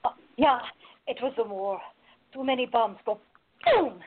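An actress playing a playful, bold woman who teases deadpan in simple broken English in a radio play. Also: a little high, unhurried, narrow, tinny audio. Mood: mischievous. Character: teasing foreign woman in costume, playful, bold, quick-witted.

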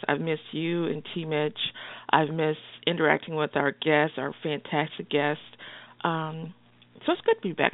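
A woman co-hosting a call-in radio show, chatty and expressive, often laughing as she talks. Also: sits low, no room sound. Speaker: radio co-host. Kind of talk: casual radio banter. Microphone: phone line. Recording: phone-line call-in radio, dry.

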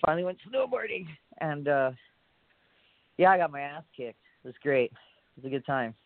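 A man's voice: on the high side, animated, moderate pace, dry recording. A man from Hawaii, a musician guest in a casual interview, talking in a loose, rambling way with many fillers and curse words. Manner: laid-back, rambling, lots of fillers and swearing. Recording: dry, phone-like audio.

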